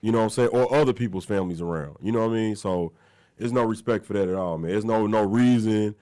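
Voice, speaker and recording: A man's voice: fairly low pitch, fast, warm tone. A man co-hosting a sports talk show, sounding stuffy and nasal from a cold, emphatic and chatty. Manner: emphatic, repeats "you know what I'm saying".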